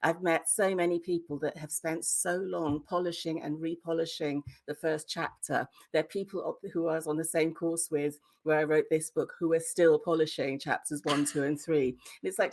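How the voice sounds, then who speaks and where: A woman with a low-pitched British voice talks candidly as an interview guest. She is emphatic and conversational, handing out advice in plain, slightly sweary language. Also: fairly even intonation, moderate pace, few pauses. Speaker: debut novelist, podcast guest. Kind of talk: conversational interview answers, giving writing advice.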